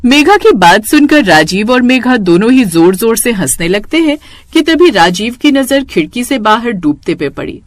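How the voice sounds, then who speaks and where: A woman's voice: a little high, sing-song, moderate pace, few pauses, no echo, studio quality. A woman narrating a scripted cartoon moral story as a storyteller.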